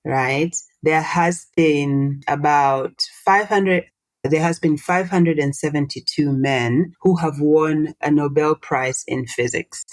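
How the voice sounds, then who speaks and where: A low-pitched woman, a Swahili speaker in her thirties, talking in a relaxed interview. She explains things in an animated way and puts questions to her listener. The sound is close and dry, with no room around it. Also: takes it slowly, no room sound. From Tanzania. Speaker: guest, social entrepreneur. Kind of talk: casual interview conversation.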